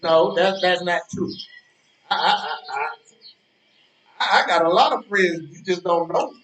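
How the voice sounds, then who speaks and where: An actor playing an indignant, preachy old man in a stage play, halting and repeating words as he moralizes. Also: high voice, a bit bright. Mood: defensive. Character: preachy, indignant old man, lonely park-bench regular. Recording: echoey, distant-sounding, noisy ambience with birdsong.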